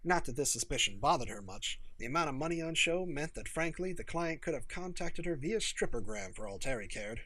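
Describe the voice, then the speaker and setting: Lively, bright-voiced male story narrator. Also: fairly high pitch, brisk, hardly any pauses, poor audio. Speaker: audiobook narrator.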